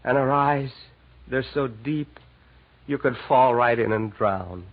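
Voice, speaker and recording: An actor in a 1940s radio drama playing a warm, earnest, lovestruck department store manager with an expressive delivery. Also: male voice, medium pitch, slow pace. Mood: dreamy. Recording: dull 1940s radio recording.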